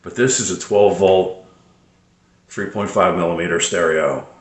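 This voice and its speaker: A man giving casual, unscripted product tips as a hobbyist presenter, explaining in a hesitant, halting way. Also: sits low, echoey room.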